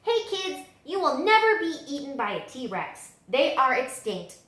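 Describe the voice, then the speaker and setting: A woman reading children's picture books aloud as a story-time librarian, with dramatic, expressive storytelling. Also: sits high, unhurried. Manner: dramatic, animated storytelling delivery. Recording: echoey room.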